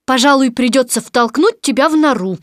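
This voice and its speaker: A woman playing a caring, lively young boy in a children's audio tale, with an affectionate, childlike manner. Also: high voice, brisk, dry recording, clean studio sound. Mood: puzzled.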